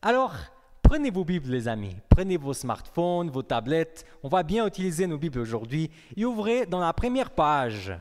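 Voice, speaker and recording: A man's voice: on the high side, sing-song, normal speed. A young man preaching a sermon in a conversational way, asking his congregation questions. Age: young adult. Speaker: pastor. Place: church hall. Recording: long reverb of a hall.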